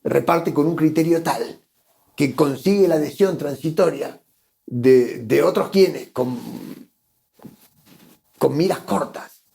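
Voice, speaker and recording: An Argentine man with a gravelly, mellow voice, talking as an interview guest. He reasons his way forward and stumbles over repeated words as he looks for the right phrase. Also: a little high, takes it slowly, pauses often. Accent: Argentine Spanish. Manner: thoughtful, repeats words while searching for phrasing. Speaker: guest economist. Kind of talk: conversational interview.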